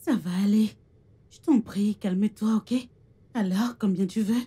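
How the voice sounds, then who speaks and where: An actress playing a gentle, supportive young daughter and peacemaker in a drama, soft and husky in tone. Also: female voice, medium pitch, steady tone, measured pace. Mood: soothing. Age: young adult. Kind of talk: dramatic film dialogue in French.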